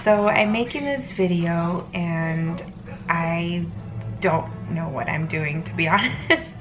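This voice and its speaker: A woman with a stuffy head cold talking earnestly in a rambling personal vlog, with many pauses and ums. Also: on the low side, music in the background. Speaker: family vlogger. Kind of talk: casual personal vlog monologue.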